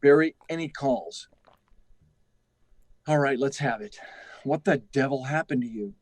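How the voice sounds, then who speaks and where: An actor playing an aging, anxious, guilt-ridden test-flight chief in a radio drama, gruff, halting and urgent, often repeating himself. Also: male voice, medium pitch, darkish tone, dry recording, close to an everyday voice. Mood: brisk, pressing. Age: older. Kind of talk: live radio drama acting.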